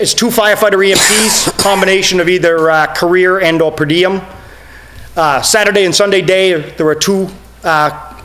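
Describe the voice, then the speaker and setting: A middle-aged man, the town's fire chief, explaining his department to a finance committee in a steady way, with many "uh" fillers and a voice that is going hoarse. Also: on the high side. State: losing his voice. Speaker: fire chief presenting budget. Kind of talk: committee presentation. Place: town meeting room.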